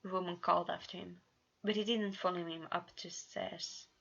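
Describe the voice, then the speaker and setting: A woman's voice: on the low side, fairly even intonation, moderate pace, creaky, a bit bright, slightly rough, lo-fi sound. A woman narrating fan fiction in an amateur storybook style, stumbling over words and correcting herself now and then.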